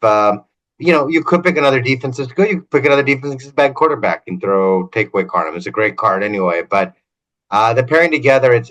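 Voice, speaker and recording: A man's voice: mid-range voice, fairly bright tone, low-quality recording. A man co-hosting a fantasy game podcast, explaining strategy in an analytical, talkative way. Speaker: podcast co-host.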